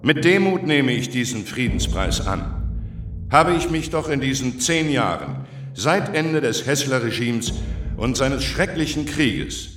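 Actor as a pompous minister, widely swinging voice. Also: male voice, mid-range voice, reverberant, close to an everyday voice. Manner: formal, self-important, commanding. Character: pompous older justice minister, a guilty former judge. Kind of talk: German radio crime drama acting.

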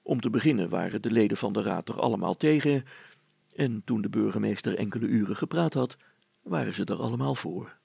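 A man's voice: on the low side, expressive, a little fast, gravelly, darkish tone, slightly rough, no room sound. A man narrating a scripted children's story as a storyteller.

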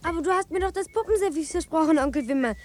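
A woman playing a lively, mischievous little girl in a German radio play, chattering and pleading, on a noisy recording with a dog. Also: extremely high pitch, fast talker.

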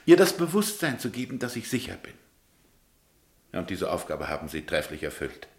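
Gravelly, deep old governor. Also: male voice, sing-song, darkish tone, roomy sound. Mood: firm, kindly. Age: older. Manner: formal, stately, deliberate. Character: old, dignified, melancholy governor, kindly but authoritative. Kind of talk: German radio play acting.

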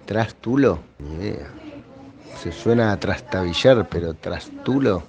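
A man's voice: deep voice, animated, measured pace, darkish tone. A man, chatting casually as a street interviewee. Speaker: vox pop interviewee. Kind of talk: casual chat. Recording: crowd noise behind the voice.

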